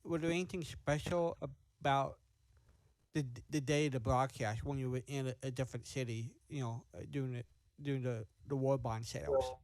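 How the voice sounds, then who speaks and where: A man interviewing informally over a video call, halting as he rephrases his questions. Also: mid-range voice, fairly even intonation, darkish tone, no room sound, poor audio.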